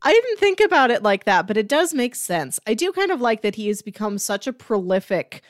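A woman's voice: a little high, very animated, brisk, no room sound, clean studio sound. A woman co-hosting a podcast in casual chat, thinking aloud informally with plenty of "like". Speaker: podcast co-host.

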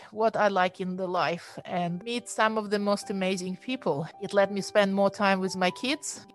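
This is a Ukrainian-accented woman in her forties, speaking earnestly and reflectively about her path into medicine. Her voice sits at a middle pitch and sounds dry and close, with no room echo. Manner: earnest, reflective, non-native phrasing. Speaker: emergency physician. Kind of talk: personal story on a podcast.

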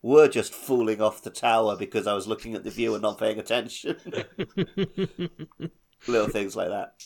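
Lower-voiced man in casual chat. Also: fairly even intonation, moderate pace, vocal fry, darkish tone.

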